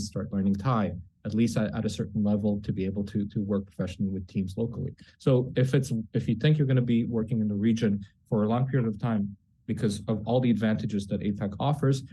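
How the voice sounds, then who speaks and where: A man's voice: lowish voice, warm tone, husky, poor audio. A man speaking as a guest on a business panel, talking in long explanations with frequent restarts and tag questions like "right?". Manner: talks in long run-on explanations, often ends points with "right?"; frequent restarts and repeated words. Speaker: guest, tech startup executive. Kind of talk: unscripted panel discussion.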